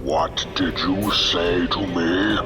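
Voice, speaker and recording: An actor playing a large, brutal ogre overseer barking menacing orders in a fantasy audio drama. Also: male voice, low-pitched, steady tone, slow. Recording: long cavernous reverb, music behind.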